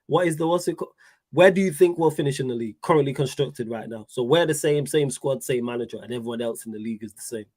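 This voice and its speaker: A man's voice: medium pitch, fast talker, a little mellow, no room sound, studio quality. A man hosting a football call-in show, chatty and laid-back as he keeps callers going. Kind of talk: casual chat.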